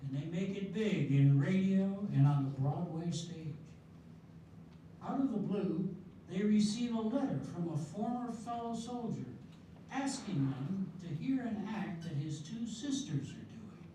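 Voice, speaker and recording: Slow-paced man narrating. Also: high-pitched, expressive, a little hiss or hum. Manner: measured storytelling, grand announcing. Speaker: narrator and announcer. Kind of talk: readers theatre narration. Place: senior center hall. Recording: echoey hall, mic far off. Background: loud music.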